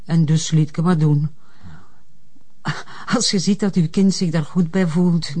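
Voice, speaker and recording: A woman playing a middle-aged, reserved mother in a crime drama, speaking in a measured, formal way. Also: on the low side, fairly even intonation, mellow. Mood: guarded, composed. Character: reserved, formal mother of a troubled adult son. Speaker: actor in a detective drama. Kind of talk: naturalistic crime drama dialogue.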